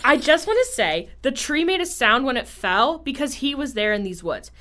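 A woman's voice: high voice, very animated, normal speed, noisy background. A woman playing a prim, motherly fairy godmother who narrates a scripted storybook tale with wry touches. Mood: wry insistence.

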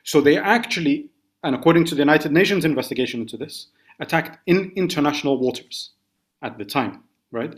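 A man's voice: a little high, lively intonation, fairly quick. A man, a journalist guest on an interview podcast, recounting events in careful detail. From United Kingdom.

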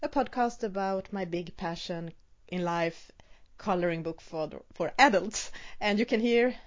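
A woman with a Swedish accent, a native Swedish speaker hosting a casual podcast interview, asking brisk short questions and chatting between them. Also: mid-range voice. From Sweden. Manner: brisk one-word questions, then chatty. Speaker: podcast host. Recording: dull lo-fi sound.

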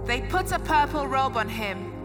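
A woman delivers a dramatic scripture narration. Her voice is very high, with loud music running behind it. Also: animated, moderate pace, in a large hall. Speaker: scripture reader. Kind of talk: dramatic Bible reading.

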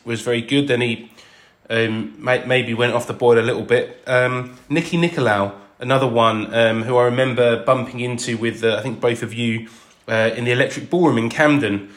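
A man's voice: medium pitch, normal speed, a bit bright. A man talking casually as a podcast co-host, hesitant and stammering a little. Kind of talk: casual chat.

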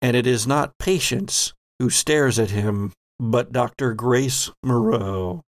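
A man hosting and narrating a tabletop horror game in a measured, theatrical way. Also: mid-range voice, no room sound, clean studio sound. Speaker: game master and podcast host.

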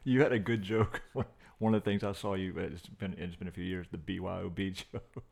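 A man's voice: sits low, steady tone, normal speed, vocal fry, darkish tone. A man co-hosting a podcast, casual and easygoing, asking questions and sharing jokes. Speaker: podcast co-host.

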